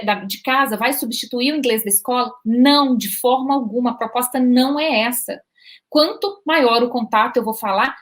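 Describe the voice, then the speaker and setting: A Brazilian woman talking casually to parents about children learning English, checking in often with short questions. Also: high voice. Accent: Brazilian Portuguese. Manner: lively, repetitive, asks "tá?" and "né?" to check in. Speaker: bilingual parenting educator. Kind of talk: informal video talk to parents.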